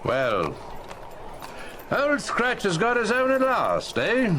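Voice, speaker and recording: A man's voice: sits high, wildly swooping pitch, slow, pushed and bright, slight background noise, full character voice. A male actor playing a wealthy, genial, gossiping Victorian businessman with an affable sing-song manner in an audio drama. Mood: affable, gossipy.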